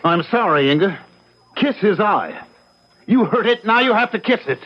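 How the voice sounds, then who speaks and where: An actor playing an agitated man in a radio drama, scolding and pleading with eerie insistence in a husky voice. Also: high-pitched, animated, normal speed. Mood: agitated, insistent. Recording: dull old radio recording.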